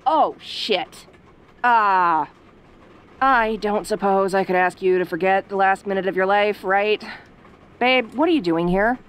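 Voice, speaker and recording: A woman's voice: medium pitch, measured pace, clear, resonant tone, lightly acted. A woman playing a sassy tsundere tomboy daughter in an audio roleplay, talking in a casual, defensive, sarcastic way. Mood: embarrassed, sassy. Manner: casual, defensive, sarcastic, hesitant when sentimental.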